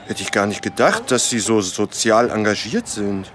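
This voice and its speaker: A man's voice: on the low side, sing-song, moderate pace. An actor playing a flustered, evasive family man in a satirical radio play, hesitant and placating. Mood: awkwardly polite. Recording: very noisy street crowd behind. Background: loud crowd and street noise.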